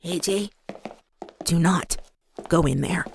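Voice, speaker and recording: A woman's voice: lowish voice, slow, gravelly, slight background noise. A woman voicing a brash young tomboy pegasus mare in a cartoon comic dub, cocky but shaken. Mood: shaken, serious.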